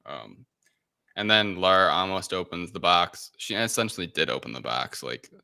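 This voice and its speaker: A man with a very low voice that is strikingly bright and forceful. He talks as a casual podcast co-host, recapping in a hesitant, meandering manner, with some gravel in his tone. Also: animated, moderate pace, no room sound, studio quality. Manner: hesitant, rambling delivery. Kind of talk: casual film recap chat.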